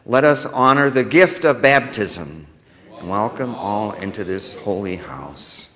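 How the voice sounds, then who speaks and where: An American man speaking as a pastor leading worship, reading liturgy to a congregation in a measured, solemn manner. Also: fairly low pitch, lively intonation, sharp tone. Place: church sanctuary during a service. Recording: reverberant church hall.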